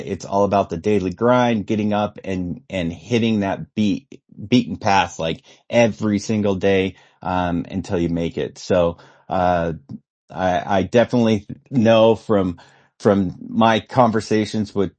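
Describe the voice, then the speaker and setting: A man with a low voice hosting a casual podcast interview. He speaks in a laid-back way. He fills pauses with plenty of "uh"s as he works his way toward each question. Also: a little mellow. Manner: laid-back, many uh fillers, drawn-out questions. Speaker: podcast host.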